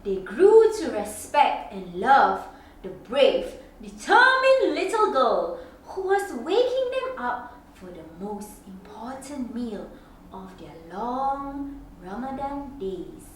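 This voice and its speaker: A woman reads a children's picture book aloud in a very reverberant room, heard at some distance from the mic. Her voice is high and swoops expressively. She phrases each line deliberately, like a storyteller, leaving dramatic pauses. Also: very animated. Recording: very echoey room, distant mic, faint noise.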